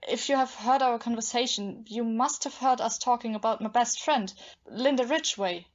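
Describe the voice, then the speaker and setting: A woman's voice: fairly high pitch, moderate pace, lightly acted. A woman playing a bitter, obsessive young jilted woman with brittle politeness in an audio play. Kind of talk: amateur audio play acting. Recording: dull, muffled lo-fi.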